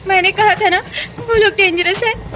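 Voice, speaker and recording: A woman's voice: very high voice, steady tone, moderate pace, bright, forceful tone, slight background noise. A woman playing frightened, protective young female relatives in a melodramatic story, anxious and urgent. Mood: fearful.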